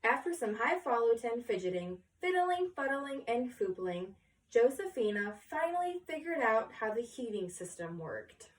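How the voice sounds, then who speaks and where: A woman reading a picture book aloud to children in an expressive storytelling style. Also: fairly high pitch, normal speed. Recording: echoey room, distant mic.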